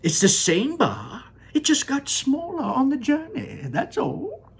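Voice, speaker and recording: A man voicing an eccentric, excitable male chocolate maker, pitched high with a husky edge and sudden shouts. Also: slow, heavily acted. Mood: excited. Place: room in his home without air conditioning.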